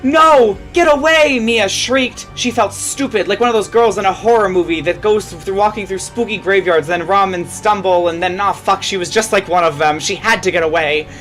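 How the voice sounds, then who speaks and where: A woman's voice: medium pitch, normal speed, few pauses, faint music behind, poor audio. A woman reading fan fiction aloud as a dramatic story narrator.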